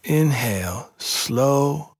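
A man guiding a sleep meditation in a soft, soothing, measured voice with long pauses between phrases. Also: mid-range voice, lively intonation, husky, dry recording. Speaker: meditation guide. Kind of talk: guided yoga nidra sleep meditation.

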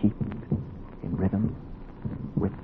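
An actor playing a steady, commanding surgeon in a 1940s radio drama, giving terse, measured orders. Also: male voice. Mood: tense, concentrated. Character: commanding, steady male surgeon mid-operation. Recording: tinny, noisy 1940s radio recording.

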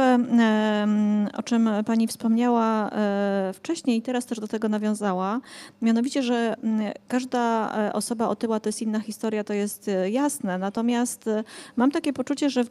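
Female interviewer in her thirties, warm and a touch high, carefully phrased questions. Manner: measured, polite questioning. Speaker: interviewer host. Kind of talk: conversational interview. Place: bookshop cafe stage, indoors. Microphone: handheld mic.

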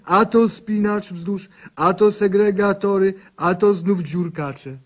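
A man's voice: sits high, takes it slowly. An actor playing a humble, put-upon male office clerk visiting a doctor in a radio comedy sketch. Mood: plaintive. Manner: humble, deferential, plaintive. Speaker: patient.

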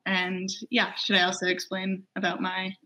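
A woman's voice: fairly low pitch, monotone, moderate pace, sharp tone, low-quality recording. A young woman from New York in her twenties, talking about her web series on a video call in a casual, upbeat way. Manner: upbeat, casual with likes and kind-ofs. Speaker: web series creator and actress. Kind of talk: casual interview answers. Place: home room.

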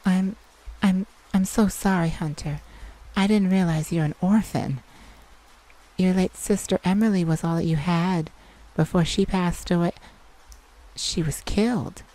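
A woman playing a caring, teasing vampire in an intimate ASMR roleplay, speaking gently and soothingly with sighs and pauses. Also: lowish voice, natural voice, rough recording. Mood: sorrowful, tender. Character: caring, teasing, sympathetic vampire confiding in a hunter. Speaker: audio roleplay performer.

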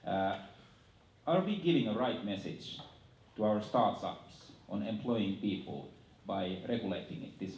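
A man with a Finnish accent moderating a panel off the cuff, halting and repeating his words. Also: sits low, a little mellow. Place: large indoor auditorium. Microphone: handheld microphone. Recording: echoey hall, distant, faint noise.

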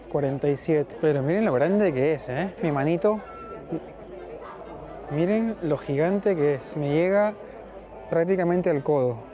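A young man with a Peruvian Spanish accent, chatting lively and directly to his viewers in a casual vlog. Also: a little high. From Peru. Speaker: travel vlogger. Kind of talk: casual vlog talk to camera.

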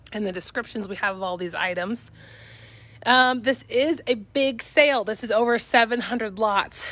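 A woman's voice: mid-range voice, fairly bright tone. A woman with a Midwestern accent giving an upbeat, chatty walkthrough of auction items for live viewers, filmed on a handheld phone in a cluttered warehouse. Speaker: auction company staff. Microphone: handheld phone camera.

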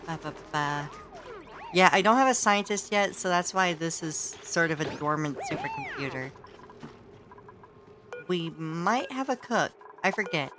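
Giggly woman streamer, slightly hoarse. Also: on the low side, huge pitch swings, measured pace, noisy background. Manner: giggly, playful, exclaims at the game. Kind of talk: casual gameplay commentary.

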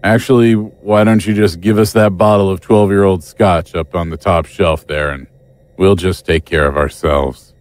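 A man acting in an audio drama as a gruff, hostile tough guy with a curt, sardonic delivery. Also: deep voice, steady tone, normal speed, dry recording, lightly acted.

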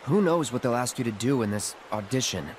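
A man's voice: medium pitch, lively intonation, brisk, slight background noise, natural voice. An actor playing a guarded, terse young mercenary swordsman who is awkwardly protective, in a video game cutscene. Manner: terse, hesitant, understated.

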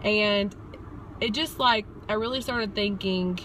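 A woman's voice: mid-range voice, takes it slowly. A woman, talking earnestly and reflectively in a casual personal vlog from inside a car. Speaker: vlogger. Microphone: phone or camera at arm's length. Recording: clear steady car ambience noise.

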